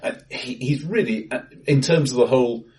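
A man's voice: mid-range voice, normal speed, far from the mic. A man co-hosting a casual film discussion with dry, wry asides, often repeating words as he finds them. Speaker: podcast co-host. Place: acoustically bright room, face to face. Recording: bright room, voice a little off-mic.

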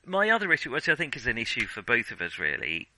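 A man's voice: mid-range voice, huge pitch swings, quick pace, bright, forceful tone, no room sound. A man co-hosting a casual film discussion, conversational and often correcting himself as he goes. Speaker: podcast co-host.

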